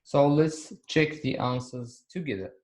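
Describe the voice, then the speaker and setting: A man teaching an English lesson on video, speaking slowly and repeating key words for his students. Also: mid-range voice, darkish tone.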